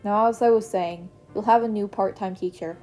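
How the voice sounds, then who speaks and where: A woman's voice: mid-range voice, fairly even intonation, normal speed, a little mellow, soft background music, close to an everyday voice. A woman voicing a weary, matter-of-fact male homeroom teacher in a fan story reading. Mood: dry.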